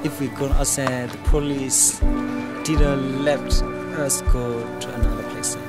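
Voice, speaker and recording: Man, monotone, broken English, mid pitch, loud music behind. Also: a little slow. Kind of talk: documentary interview in broken English.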